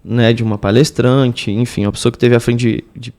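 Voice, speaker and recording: A man's voice: mid-range voice, flat delivery, fairly quick, darkish tone. A man with a Brazilian accent hosting a podcast, asking casual, probing questions that circle toward the point. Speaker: podcast host interviewing a guest.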